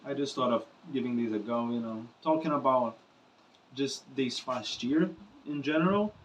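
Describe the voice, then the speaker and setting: A man's voice: medium pitch, recorded from a distance, lo-fi sound, slight background noise. Brazilian-accented young man rambling casually. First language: Portuguese. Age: young adult. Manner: casual, rambling, with frequent filler phrases and swearing. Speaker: musician vlogger. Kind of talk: unscripted talk to camera.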